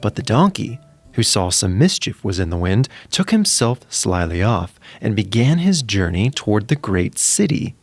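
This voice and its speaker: Animated male fairy-tale storyteller. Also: on the low side, normal speed. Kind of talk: scripted fairy-tale narration.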